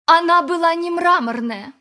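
A woman's voice: very high-pitched, normal speed, pushed and bright. An actress playing a vain, aggrieved rich woman, complaining in a radio drama. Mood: affronted. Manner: complaining, affronted.

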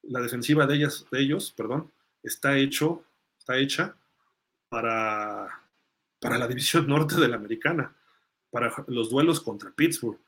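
A man's voice: medium pitch, normal speed, a bit bright. Man casually talking sports on a podcast. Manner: conversational, thinking aloud, reading comments out. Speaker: host of a live sports fan podcast. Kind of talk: casual sports commentary, answering listener comments.

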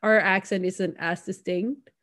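A young woman from Sweden with a Swedish accent, co-hosting a casual chat podcast, chatty and quick to act out what people said. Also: medium pitch. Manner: chatty, quotes people in animated bursts, laughs often. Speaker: podcast co-host.

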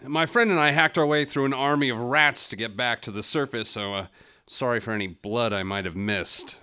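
A man's voice: medium pitch, moderate pace, pushed and bright, smooth, close to an everyday voice. A man voicing a wry American adventurer with dry humor.

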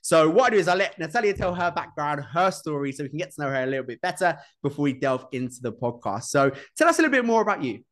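A man's voice: fairly high pitch, sing-song, fast talker, few pauses, a bit bright. A man hosting a podcast, introducing his guest in a friendly, encouraging way. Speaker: podcast host.

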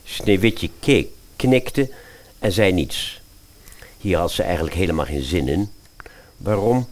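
A man's voice: deep voice, animated, slow pace. A man narrating a scripted fairy-tale radio play as its storyteller.

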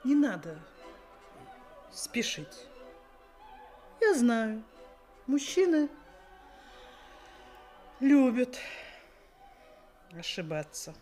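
Slow, very expressive, probing middle-aged mother. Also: on the high side, exaggerated voice. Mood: earnest, cautioning. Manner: theatrical, insinuating, deliberate. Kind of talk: theatrical radio play acting. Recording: 1980s radio play with faint music.

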